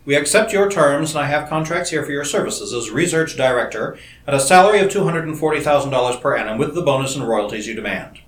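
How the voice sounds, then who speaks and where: A man's voice: on the high side, fast talker, natural voice. A man reading as a blunt company boss. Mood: businesslike. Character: middle-aged male company boss, blunt and businesslike. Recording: roomy echo.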